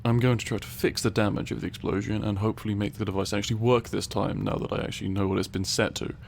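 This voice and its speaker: A man acts out a pedantic tiny male scientist in a comedic audio roleplay, low and gravelly. He is dry, sarcastic and condescending, choosing long, precise words with weary patience. A steady hiss sits behind the voice. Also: quick pace. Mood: weary, disapproving.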